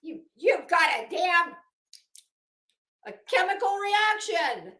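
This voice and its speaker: A woman's voice: extremely high pitch, big pitch swings, takes it slowly, pushed and bright. A middle-aged woman delivering a mocking, theatrical monologue, rambling and sarcastic. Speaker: host. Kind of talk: mocking dramatic commentary monologue. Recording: echoey room.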